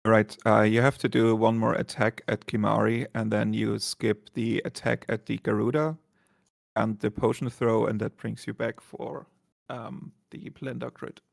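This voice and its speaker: Gravelly man co-commentating a speedrun. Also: on the low side, little pitch movement, a little slow, no room sound, studio quality. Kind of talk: casual explanatory game commentary.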